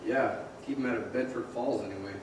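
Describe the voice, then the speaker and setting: A man's voice: mid-range voice, fast talker, lightly acted. An actor playing a stubborn, awkward, grumbling young small-town man in an old-time radio play. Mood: glum. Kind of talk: old-time radio drama stage acting. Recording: echoey theater, distant mic, faint noise.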